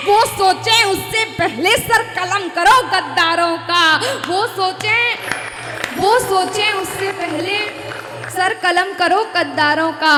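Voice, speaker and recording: High-voiced teenage girl chanting poetry. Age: teens. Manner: chanting verses in a sing-song melody, repeating each line. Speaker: poet. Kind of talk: sung and recited poetry at a poets' gathering. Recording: echoey hall, loud music behind.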